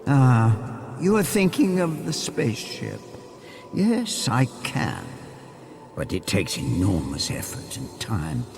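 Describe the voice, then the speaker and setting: An actor playing an ancient, disembodied intelligence in a radio drama, breathy, measured and courteous with an eerie edge. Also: male voice, mid-range voice, very animated, noisy background. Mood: gently regretful.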